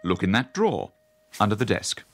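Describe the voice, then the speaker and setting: Deep-voiced, courteous manservant. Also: male voice, very animated, over music. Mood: patient, bemused. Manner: formal, polite, measured. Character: courteous, unflappable male butler-like attendant, quietly knowing. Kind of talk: radio drama acting.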